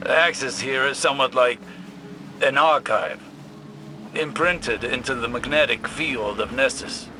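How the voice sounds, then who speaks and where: An actor playing a weary, intense older scholar in a video game, speaking in an earnest, cryptic way. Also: male voice, medium pitch, unhurried, background noise, lightly acted. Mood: sober.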